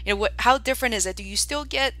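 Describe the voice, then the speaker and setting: A woman hosting a podcast, asking lively follow-up questions and talking at length between them. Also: a little high, rapid-fire, no room sound. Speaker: podcast host, interviewer. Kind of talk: casual interview chat.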